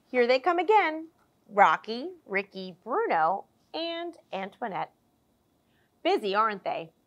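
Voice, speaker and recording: A woman voicing a warm, proud mother bulldog in a storybook reading, with a fond, reassuring tone. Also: fairly high pitch, big pitch swings, slow, lo-fi sound. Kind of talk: storybook character voice.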